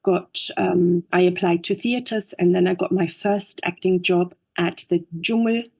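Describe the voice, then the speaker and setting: A woman from Upper Austria whose first language is German, speaking English with an Austrian accent as an interview guest, thoughtful and halting with many fillers. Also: on the low side, fairly even intonation, vocal fry, darkish tone. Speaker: guest, actress and director. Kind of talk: interview conversation.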